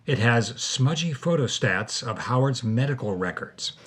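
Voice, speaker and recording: A man's voice: medium pitch, lively intonation, a little slow, fairly bright tone, slightly rough. A man co-hosting a podcast, delivering scripted storytelling narration. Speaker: podcast co-host.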